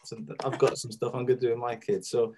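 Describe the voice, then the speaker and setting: A man hosting an online talk, chatting casually in an effusive, halting way over a video call. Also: medium pitch, level tone, warm tone, a little hiss or hum. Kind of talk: casual chat responding to a speaker.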